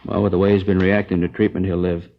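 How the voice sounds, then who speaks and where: A blunt hospital doctor in 1950s radio drama. He speaks low and fast, and the dull old recording has a roomy echo around him. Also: flat delivery. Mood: grave, blunt.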